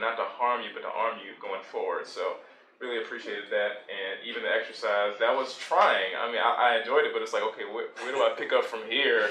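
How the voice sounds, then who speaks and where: A man giving upbeat feedback on a meeting, his tone very bright and his pitch middling. He talks with frequent fillers. The room around him sounds roomy. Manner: appreciative, upbeat, many fillers. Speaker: meeting guest.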